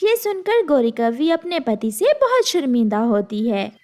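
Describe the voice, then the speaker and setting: Very high-voiced woman narrating a children's story. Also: big pitch swings, moderate pace, darkish tone. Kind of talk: scripted children's cartoon storytelling.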